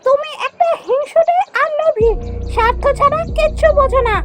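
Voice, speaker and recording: A woman's voice: very high-pitched, a little fast, faint music behind. A woman voicing a stern, scolding magical golden bird in a children's fairy tale cartoon. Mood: angry, scolding.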